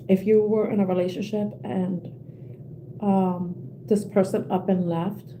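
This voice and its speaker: A young woman giving a collective tarot reading with a soothing voice, talking gently and rambling with many fillers and restarts. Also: fairly low pitch, slight background noise, rough recording. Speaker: tarot reader.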